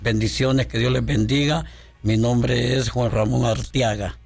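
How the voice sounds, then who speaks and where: Man, pastor, very slow, halting, faint music. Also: mid-range voice, steady tone, vocal fry, breathy edge. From Honduras. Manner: slow, halting, devout, many fillers. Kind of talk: radio guest testimony. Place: radio studio.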